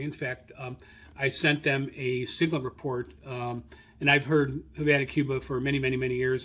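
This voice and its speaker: A man hosting a radio hobby show, informally reading through his logs in a halting, self-correcting way as he searches his notes. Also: mid-range voice, a bit bright. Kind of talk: informal spoken log rundown, unscripted.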